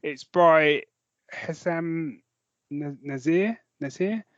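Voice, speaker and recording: A man's voice: a little high, extremely slow, no room sound, muffled recording. A man, co-hosting a podcast in casual, jokey, rambling chat with some swearing. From London, England. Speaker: podcast co-host.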